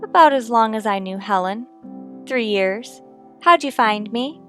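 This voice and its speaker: A woman's voice: fairly high pitch, animated, measured pace, bright, forceful tone. A woman playing a wistful, reluctant piano saleswoman, subdued and hesitant, in a radio detective drama. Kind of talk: old-time radio detective drama acting.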